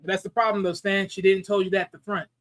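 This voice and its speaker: A man's voice: high-pitched, little pitch movement, fast, fairly bright tone. A man guesting on a casual podcast, blunt, profane and mocking. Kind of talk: casual chat.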